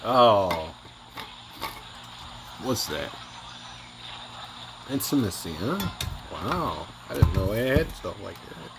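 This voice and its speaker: A man's voice: on the low side, sing-song, slow pace, pauses often, background noise. A man reacting casually and off the cuff as he hosts a reaction video.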